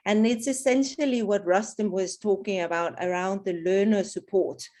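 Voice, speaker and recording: A woman's voice: mid-range voice, steady tone, normal speed, mellow. A woman presenting at an online webinar, speaking hesitantly with many restarts and fillers. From not South African. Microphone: video call.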